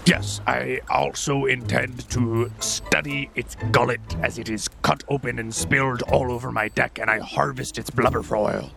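A man voicing a raspy, blunt male whaling ship captain who talks plainly with old-fashioned phrasing. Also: mid-range voice, steady tone, normal speed, hardly any pauses, music in the background.

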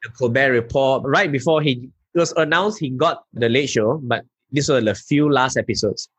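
A man from Malaysia with a Malaysian accent, a stand-up comedian telling lively anecdotes in an interview. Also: medium pitch, moderate pace, slightly rough, no echo. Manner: animated anecdotes, local particle "lah". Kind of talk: casual interview storytelling.

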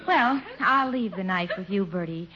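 A woman's voice: medium pitch, animated, moderate pace, pushed and bright. An actress playing a genteel, coy single woman, gushing and a little hesitant, in a 1950s radio comedy. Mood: friendly, confiding. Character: genteel, coy single woman being courted, sweetly admiring. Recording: dull, noisy vintage radio recording.

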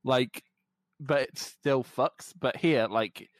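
A man's voice: medium pitch, slow pace, dry recording. A young Scottish man co-hosting a podcast, chatting casually while watching a match, swearing a lot and laughing often. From Scotland. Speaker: podcast co-host.

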